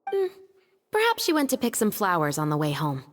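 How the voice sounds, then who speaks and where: A woman's voice: medium pitch, wildly swooping pitch, fairly quick. A woman voicing an observant, resolute young daughter, protective of her sister, in a dramatic video game. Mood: concerned, observant. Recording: long reverb, music underneath. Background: music.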